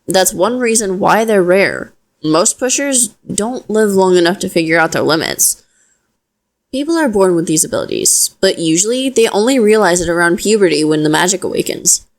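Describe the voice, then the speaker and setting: Woman playing a lowish-voiced, reassuring young witch. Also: lightly acted. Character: young witch, protective, self-deprecating, gently explaining and reassuring. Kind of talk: audio roleplay addressed to the listener.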